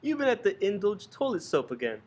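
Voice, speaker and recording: A man's voice: high voice, very animated, fairly quick, darkish tone. A man playing a bitter, boozy working-class husband with slangy, melodramatic ranting in an old-fashioned radio play parody. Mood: bitter, anguished.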